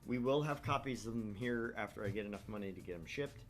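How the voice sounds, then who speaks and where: A man hosting a gaming livestream, chatting casually and plugging things in a rambling, halting way. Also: fairly low pitch. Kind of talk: casual stream chat and promotion. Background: background music.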